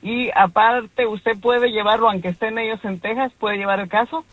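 A woman calls in to a radio show and politely asks quick questions. She speaks deferentially throughout. Her voice comes through a thin, tinny phone line with a dry sound. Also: fairly high pitch, steady tone, dry recording. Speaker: caller.

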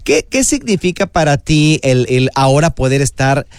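Chatty high-voiced male radio host. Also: very animated, moderate pace, smooth, dry recording. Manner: playful, chatty. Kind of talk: morning radio show banter.

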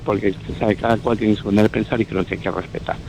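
A man's voice: lowish voice, fairly even intonation, fast, gravelly, darkish tone, slight background noise, low-quality recording. A man calling in to a radio talk show, giving his opinion over the phone with many fillers and restarts. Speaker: radio caller. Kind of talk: call-in opinion. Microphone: phone line.

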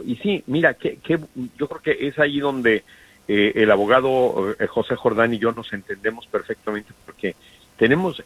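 Man, middle-aged, from Mexico, radio co-host, warm and earnest, rambling with fillers, mid-pitched, dry close studio mic. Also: slightly rough, no room sound, rough recording. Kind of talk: radio talk show conversation. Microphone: studio microphone.

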